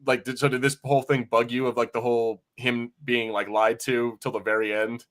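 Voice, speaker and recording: A man with an average-pitched voice hosts a casual review chat. He speaks informally, hedging and revising his sentences as he goes. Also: level tone, brisk, a bit bright. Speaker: podcast host.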